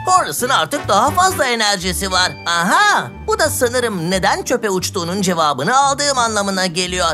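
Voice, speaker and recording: A woman's voice: medium pitch, very animated, moderate pace, hardly any pauses, music in the background, lightly acted. A woman voicing a cheerful, curious young male cartoon adventurer who exclaims and explains things simply in a children's dub. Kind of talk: children's cartoon dubbing in Turkish.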